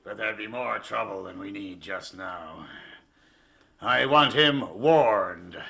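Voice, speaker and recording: A menacing male gang boss in a radio play. His voice is very forceful and hard-edged, with a gravelly creak. He gives orders slowly and deliberately, full of threat. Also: on the low side, exaggerated voice. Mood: stern, commanding. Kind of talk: radio drama acting.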